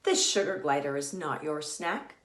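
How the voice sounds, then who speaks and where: A woman's voice: low voice, moderate pace, voice pushed down, natural voice. Woman as a composed, brave female fox, echoey. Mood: firm. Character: calm, brave, gentle female red fox.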